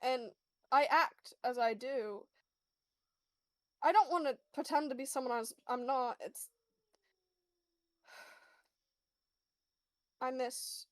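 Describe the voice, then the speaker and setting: Woman as a fragile young man, very high voice, halting, slow, trying not to cry, roleplay game. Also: no room sound. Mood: tearful, hesitant. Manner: halting, trailing off, repeating words. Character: grieving young man, earnest, fragile, holding back tears.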